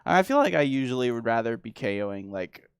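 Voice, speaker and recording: Hoarse young-sounding man, gravelly and animated. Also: mid-range voice, fairly quick, dry recording, studio quality. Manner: relaxed, lots of "like" and "dude". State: hoarse, losing his voice. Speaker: guest player. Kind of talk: casual chat while playing a card game.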